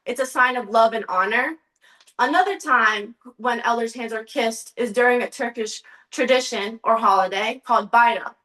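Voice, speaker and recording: Young female student presenter, slightly high voice, sincere, slide-based talk. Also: normal speed, sharp tone, rough recording. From United States. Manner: earnest, occasional restarts and ums.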